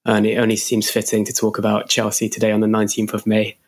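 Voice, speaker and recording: A man's voice: fairly low pitch, monotone, fast talker. A man co-hosting a casual football podcast, sounding a bit nasal from a cold. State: stuffy nose from a cold. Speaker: podcast co-host.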